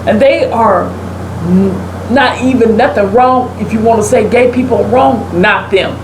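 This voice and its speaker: A woman's voice: fairly high pitch, moderate pace. A woman host giving opinionated commentary in conversation, in an emphatic, preacherly manner full of rhetorical questions. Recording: roomy echo, clear air-conditioning-like background noise.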